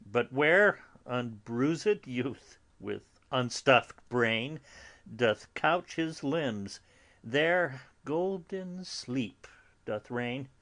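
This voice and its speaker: A man performs an elderly friar in a classical verse drama. He speaks extremely slowly, savouring phrases with long pauses, then swelling with feeling. His delivery swings widely in pitch, fatherly, philosophical and gently chiding. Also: lively intonation, heavily acted. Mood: fond, chiding. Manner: measured, deliberate, with dramatic pauses and sudden swells.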